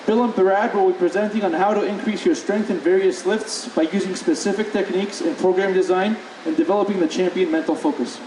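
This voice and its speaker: A man hosting an event, reading speaker introductions and listing numbers slowly and clearly. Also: high voice, fairly even intonation, background noise. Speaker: event host. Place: conference hall. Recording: echoey room, distant mic.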